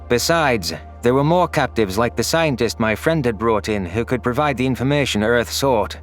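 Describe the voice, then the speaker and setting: A man narrating a scripted science fiction story in the first person as an anguished, guilt-ridden alien. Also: medium pitch. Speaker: narrator of a sci-fi audio story.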